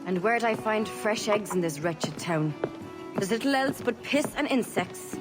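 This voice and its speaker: A woman's voice: medium pitch, expressive, unhurried, lightly acted. A woman voicing a brassy, sardonic young barmaid, blunt and saucy, in a video game cutscene. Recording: loud music behind the voice.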